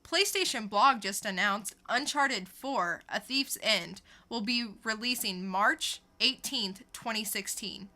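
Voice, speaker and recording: A woman's voice: on the high side, slow pace, hardly any pauses, bright, forceful tone. A woman co-hosting a podcast, reading scripted pop-culture news and adding dry, sarcastic punchlines. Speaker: podcast co-host.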